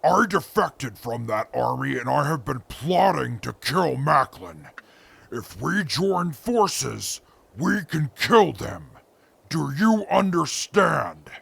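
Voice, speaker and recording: Hulking mutant brute, very gravelly and husky, crude, menacing, post-apocalyptic radio play. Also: male voice, on the high side, animated, slow pace, a bit bright, exaggerated voice. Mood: grave, urgent. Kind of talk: post-apocalyptic audio drama acting.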